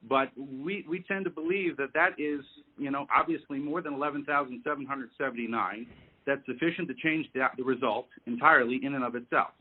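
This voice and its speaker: Tinny telephone audio, male lawyer, formal wording, measured delivery, negotiating. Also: medium pitch, husky. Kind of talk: formal negotiation on a conference call. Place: conference phone call. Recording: narrow, tinny phone line.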